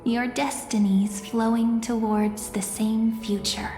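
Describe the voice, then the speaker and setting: An actress playing a gentle, serene young oracle card reader in a video game, poetic and deliberate. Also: female voice, on the high side, little pitch movement. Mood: serene, mystical. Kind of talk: scripted game voice acting. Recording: echoing hall reverb, faint music.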